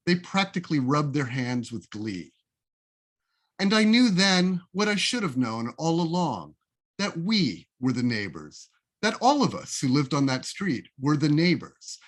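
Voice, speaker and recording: A man reading his own essay aloud as a literary reading, with a deliberate, wry, expressive storytelling delivery, recorded over a video call. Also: a little high. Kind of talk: literary reading, scripted first-person narration.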